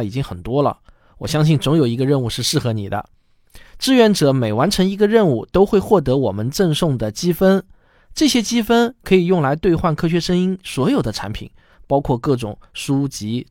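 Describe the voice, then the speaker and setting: A man hosting a podcast, addressing listeners in a clear, emphatic presenter's delivery. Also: on the high side, darkish tone, no room sound, clean studio sound. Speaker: podcast host. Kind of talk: scripted announcements to listeners.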